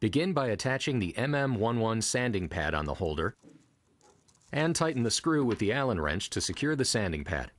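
A man talks through a sanding tutorial step by step in a steady, instructive way. His voice is low but swings lively up and down. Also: moderate pace. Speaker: instructional narrator. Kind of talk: scripted how-to narration.

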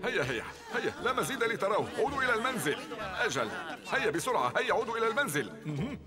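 A man's voice: high-pitched, big pitch swings, racing. A man voicing a scheming male villain in an Arabic cartoon dub, wheedling and cackling. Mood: impatient. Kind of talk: Arabic dub of a children's TV show. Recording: music bed under dialogue.

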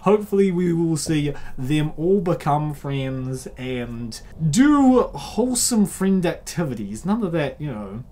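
A woman hosting a comic dub with casual, rambling, excitable reaction commentary. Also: low-pitched, unhurried, hardly any pauses.

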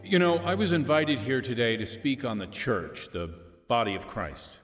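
A man's voice: mid-range voice, very animated, moderate pace, a bit bright, soft background music. An actor playing an earnest preacher in a radio drama, exhorting his congregation in a folksy sermon style. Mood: solemn, exhorting. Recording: long hall reverb.

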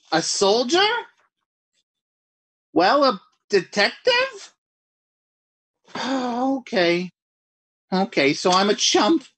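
A man's voice: extremely high pitch, pushed and bright, breathy edge, no room sound, heavily acted. A man playing a jumpy writer alone at night, rambling and mocking himself in 1940s radio acting style. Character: jittery lone male writer talking to himself.

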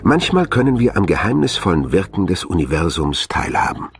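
Male narrator, very low pitch, lively intonation, measured dramatic documentary read, music bed behind.

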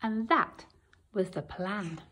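A woman teacher reading a picture book aloud to her pupils in an empty classroom, with expressive storytelling. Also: mid-range voice, takes it slowly, slight background noise.

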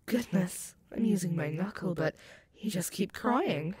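Sadistic alpha werewolf demoness , woman performer, roleplay audio. Unhurried, possessive, teasing menace, middle-pitched. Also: darkish tone, a little hiss or hum, natural voice. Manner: slow, teasing, purring menace with mocking endearments. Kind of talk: scripted roleplay audio addressed to the listener.

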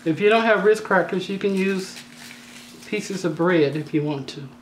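A woman with a slightly raspy voice hosting a home cooking tutorial, talking in a chatty, down-to-earth way with joking asides. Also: on the low side, normal speed, a little hiss or hum, poor audio. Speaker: cooking channel host. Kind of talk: casual cooking tutorial voice-over. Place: home kitchen.

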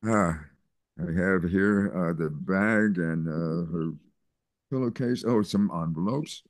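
An older man voicing a kind reverend, speaking gently with hesitant fillers over a video call. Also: deep voice, takes it slowly, dry recording, clean studio sound. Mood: gentle. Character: kindly older male reverend. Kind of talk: Zoom play reading.

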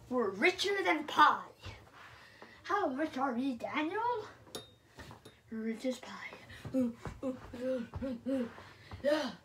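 Young girl, high bright voice, slow chanting, dancing in a bedroom. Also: slight background noise, poor audio. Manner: chanting, dancing and jumping while talking. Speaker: performer of a homemade dance video. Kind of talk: playful silly chanting. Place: bedroom at home.

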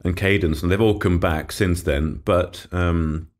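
A man hosting an investment podcast, chatty and laughing easily, his voice deepened by a developing cold. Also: steady tone, measured pace. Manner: chatty, laughs often, loose with fillers. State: slightly unwell, deep voice. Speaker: podcast host. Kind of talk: casual investment podcast chat.

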